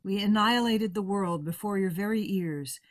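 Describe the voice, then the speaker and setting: A woman's voice: mid-range voice, normal speed, close to an everyday voice. Wry radio showman played by a woman signing off. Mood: wry, playful. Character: wry showman radio host addressing the audience out of character. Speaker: actor delivering radio play's closing address. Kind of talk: theatrical radio sign-off to listeners.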